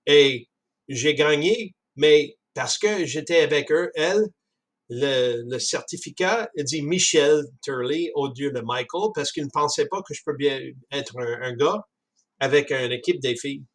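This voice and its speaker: Halting man with a Canadian French accent. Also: on the high side. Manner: halting, with frequent restarts and chuckles. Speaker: speaker discussing a book. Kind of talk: casual spoken commentary.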